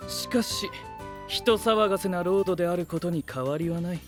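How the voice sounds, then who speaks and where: A man acts out an aloof, critical young knight with a high voice. He speaks in terse, stiff, analytical phrases. Music plays clearly behind the audio drama. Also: moderate pace, close to an everyday voice. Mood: cool, critical. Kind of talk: Japanese anime-style audio drama acting.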